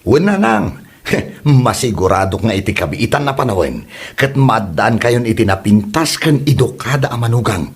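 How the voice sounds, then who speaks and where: A man acts a nervous young suitor in an Ilocano comedy drama. He has a rough-edged, husky voice that swoops in pitch, flustered and whining in an Ilocano accent. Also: animated, moderate pace. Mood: pleading. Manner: stammering, flustered, whiny. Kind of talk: comedy audio drama acting.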